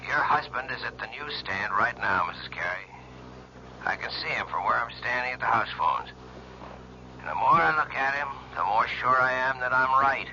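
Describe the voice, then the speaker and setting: An actor playing a grave, insistent police lieutenant in an old-time radio mystery, speaking deliberately and persuasively. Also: male voice, mid-range voice, expressive, pushed and bright. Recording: dull old radio recording, faint noise.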